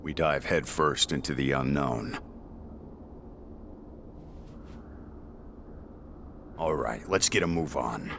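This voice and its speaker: An actor playing a blunt, gruff warrior leader in a video game, terse and commanding. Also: male voice, very deep voice, normal speed, a bit bright, slight background noise, heavily acted. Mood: resolute. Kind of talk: video game cutscene acting.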